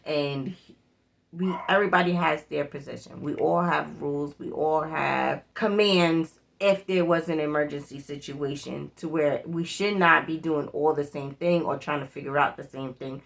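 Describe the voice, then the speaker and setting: A woman's voice: deep voice, fairly even intonation, normal speed, vocal fry. A middle-aged woman chatting casually as she hosts a demonstration video, repeating her points for emphasis. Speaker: prepper vlog host. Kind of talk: casual product demonstration chat.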